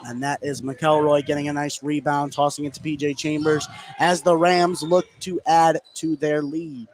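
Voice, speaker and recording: A male announcer with a fairly high voice calls a live basketball game on the radio. He follows the action energetically in short, clipped phrases. Clear gym noise sits behind him. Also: level tone, moderate pace, rough recording. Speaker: play-by-play sports announcer. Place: indoor gymnasium at a live game.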